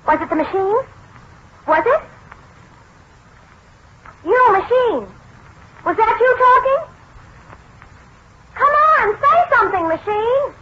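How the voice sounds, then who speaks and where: A very high-pitched, pushy miss. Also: female voice, lively intonation, slow, long pauses, heavily acted. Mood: suspicious, demanding. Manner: insistent, coaxing. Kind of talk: 1940s radio drama acting. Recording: muffled, noisy 1940s radio recording.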